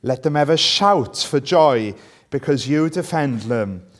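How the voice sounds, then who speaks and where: A man's voice: mid-range voice, lively intonation, unhurried. A Welsh man, a native Welsh speaker, preaching and reading scripture, checking in with listeners through questions. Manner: conversational, asks listeners rhetorical tag questions.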